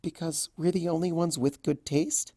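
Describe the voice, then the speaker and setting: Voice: mid-range voice, steady tone, normal speed, no echo, pitched above the performer's own voice, natural voice. A performer voicing a shy, sweet young female pony with a hesitant, stammering way of speaking, in a story reading. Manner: hesitant, stammering, soft-spoken.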